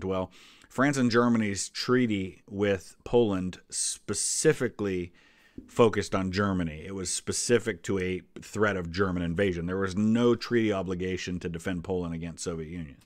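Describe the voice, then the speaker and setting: A man in his forties with a fairly low voice talks through history as a reacting video host. He is conversational and explanatory, putting weight on key answers. The recording is very dry, with no room sound. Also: dry recording. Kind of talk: casual explanatory reaction commentary.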